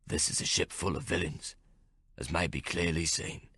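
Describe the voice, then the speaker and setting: Man doing a gravelly, low, formal sailor on a whaling ship; storybook reading. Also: steady tone, moderate pace, sharp tone, no echo, studio quality, exaggerated voice. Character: male sailor Hawk, calm, gravelly, formal speech.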